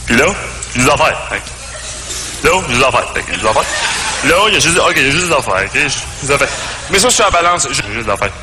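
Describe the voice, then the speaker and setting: A man's voice: medium pitch, expressive, fast talker, long pauses, voice pushed down, lightly acted. A man playing a curt, stubborn Québécois airport customs officer who repeats himself in colloquial speech. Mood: suspicious, repetitive. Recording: echoey hall, clear background noise.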